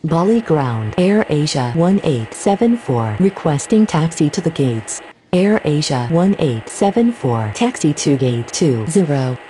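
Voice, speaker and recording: A woman's voice: sits low, big pitch swings, unhurried, warm tone, slight background noise, low-quality recording. A woman voicing a formal, procedural airport controller in a game, with clipped radio phrases. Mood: businesslike. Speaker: air traffic radio voice.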